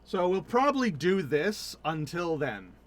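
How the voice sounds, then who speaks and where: A man's voice: a little high, very animated, measured pace. A man chatting casually on a livestream while he plays a game. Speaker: game streamer.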